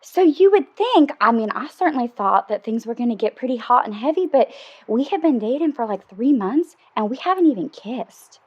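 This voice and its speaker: Giddy, high-voiced lovestruck caller. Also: female voice, lively intonation, normal speed, slightly rough, highs cut off. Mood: confiding, frustrated. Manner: giggly, oversharing, confiding. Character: giddy, lovestruck, sexually frank woman caller. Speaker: caller to an advice show. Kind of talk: improvised comedy phone-in chat.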